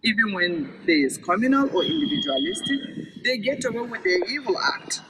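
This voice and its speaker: A man with a high-pitched voice tells a story aloud. His delivery is very lively in pitch, with deliberate, emphatic phrasing. The recording is clearly noisy, with clicks. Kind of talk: oral storytelling read-aloud. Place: virtual event recording.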